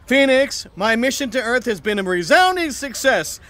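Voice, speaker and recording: An actor playing a hasty, excitable space agent in a theatrical, animated way for a sci-fi audio drama. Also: medium pitch, normal speed. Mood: hurried.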